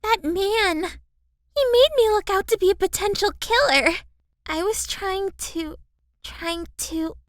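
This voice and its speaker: A woman's voice: extremely high pitch, slow, no echo, clean studio sound. A woman voicing a timid, anxious, sweet teenage girl in an anime-style audio drama, with a hesitant, childlike manner. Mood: distressed, frightened. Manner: hesitant, soft-hearted, childlike.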